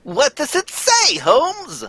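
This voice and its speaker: A woman playing a hearty, proper gentleman sidekick in an amateur radio drama, exclamatory and husky. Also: high voice, huge pitch swings, slow, no room sound, full character voice. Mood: astonished. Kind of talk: amateur old-time radio drama reading.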